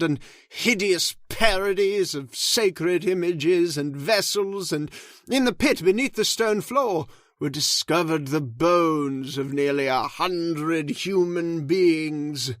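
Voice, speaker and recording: A man playing a solemn caretaker who slowly tells a dark family legend in an old-time radio drama. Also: high voice, dry recording, studio quality. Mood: grave. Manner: slow, ominous, measured delivery. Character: grave, solemn male storyteller of the house. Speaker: Mister Roberts, old manor caretaker telling the legend.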